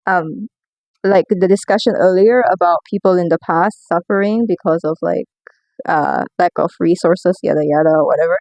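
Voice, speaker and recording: A woman's voice: fairly low pitch, steady tone, normal speed, darkish tone, no echo. A woman talking casually in a rambling, informal audio log, self-deprecating and swearing freely as she gives her opinions. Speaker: video creator giving commentary.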